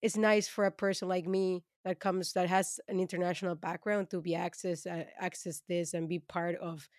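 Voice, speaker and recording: Co-host, woman, lowish voice, dry close sound, measured and halting. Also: level tone, darkish tone, no echo. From Latin America. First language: Spanish. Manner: thoughtful, hesitant with restarts. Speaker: podcast co-host. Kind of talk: casual panel discussion.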